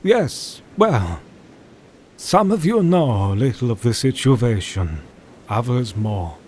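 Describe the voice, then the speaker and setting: An actor playing a grave older doctor and mentor in an audio drama, speaking formally and deliberately. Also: male voice, medium pitch, very animated, darkish tone, a little hiss or hum. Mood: grave, urgent. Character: grave older male doctor and mentor, solemn vampire hunter.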